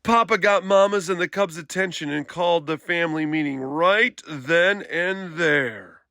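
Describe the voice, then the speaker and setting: A man narrates a children's story expressively. His voice sits fairly high for a man, bright with a creaky edge, and the recording is dry and close. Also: a little slow, no room sound. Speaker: read-aloud storyteller.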